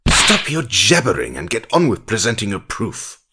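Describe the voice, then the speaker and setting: A man playing a pompous, impatient, scornful rat prosecutor in an audio drama, with a gravelly, theatrical delivery. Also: on the low side, wildly swooping pitch, normal speed, bright, forceful tone, exaggerated voice. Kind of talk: audio drama character acting.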